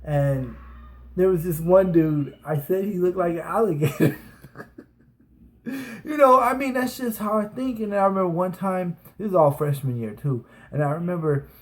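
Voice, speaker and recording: Rambling storyteller man, slightly high voice. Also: normal speed. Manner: rambling, frequent restarts and fillers, laughs while telling. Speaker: vlogger. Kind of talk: casual storytelling.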